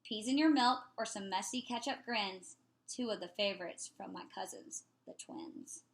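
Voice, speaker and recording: A woman reading a children's picture book aloud in an expressive, playful way. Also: medium pitch, moderate pace.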